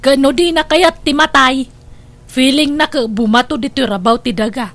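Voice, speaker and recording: Casting: actress as exasperated older Ilocano wife, high voice, anxious and full of complaints, comedy drama. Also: female voice, normal speed, a bit bright. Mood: grumbling. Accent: Ilocano Filipino.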